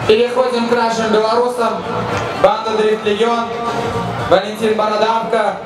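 High-pitched man announcing over echoey PA. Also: moderate pace. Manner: loud energetic announcing, shouting to crowd. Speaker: event announcer. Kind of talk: live event announcing over PA. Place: outdoor drift track. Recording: echoey PA sound from far off with music.

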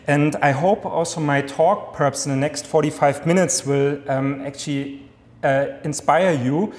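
A man in his thirties with a German accent gives an enthusiastic academic lecture from a podium, talking fast with many fillers and restarts. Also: a little high, steady tone. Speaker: guest lecturer. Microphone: podium mic. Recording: echoey lecture hall, long reverb.

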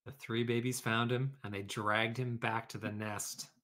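A man's voice: medium pitch, flat delivery, unhurried, fairly bright tone. Male educator, mid-range voice, conversational delivery for a children's read-aloud lesson. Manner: conversational, addressing pupils and teachers. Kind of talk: children's story read-aloud and informal classroom instruction.

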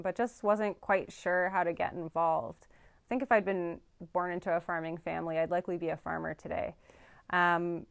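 A woman's voice: on the low side, clear, resonant tone. A young woman farm veterinarian answering interview questions thoughtfully, with frequent ums and restarts, seated in a studio. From Canada. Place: studio with dark backdrop.

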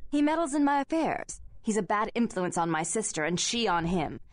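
An actress playing a haughty, prim vampire nightclub owner in a video game, formal, imperious and disdainful. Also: female voice, medium pitch, very animated, a little fast, no echo, studio quality, close to an everyday voice.

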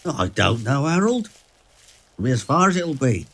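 A man's voice: mid-range voice, very animated, moderate pace, fairly bright tone. Curt senior policeman played by a man. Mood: dismissive. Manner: curt, authoritative. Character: stern, dismissive senior male police officer. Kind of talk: audio drama acting.

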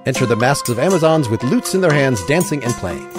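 A man's voice: mid-range voice, expressive, fairly quick, music in the background. A man reading out stage directions in a dramatic play reading.

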